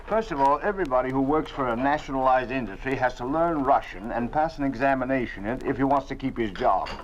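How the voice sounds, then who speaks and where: An actor playing an anxious middle-aged father in a 1950s radio drama, earnest and halting, often repeating words. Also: mid-range voice, expressive, a little hiss or hum, close to an everyday voice. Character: worried middle-aged family father under a regime. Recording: dull old 1950s radio recording.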